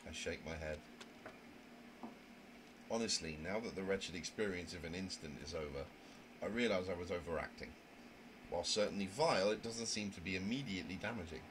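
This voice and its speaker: A man acts out a prim young visual novel character who narrates in fussy, theatrical phrases. The voice sits fairly low and sounds indignant and proper. The recording carries noticeable noise. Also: a little fast, pauses often, natural voice. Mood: reflective. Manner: wordy, fussy, theatrical diction. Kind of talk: dramatic reading of a visual novel. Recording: noisy home microphone recording.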